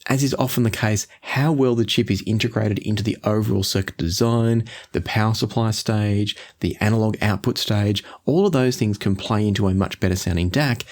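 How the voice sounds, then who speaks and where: Male review host, slightly low voice, measured and explanatory delivery to viewers. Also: darkish tone. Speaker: host, audio gear reviewer. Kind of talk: product review to camera.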